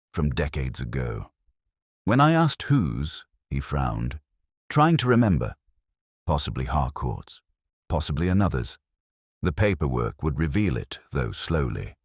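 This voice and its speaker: A man narrating a scripted detective drama as a weary, reflective police inspector, in a brooding, measured, literary manner. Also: extremely low pitch, no room sound, clean studio sound. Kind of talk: scripted first-person audio drama narration.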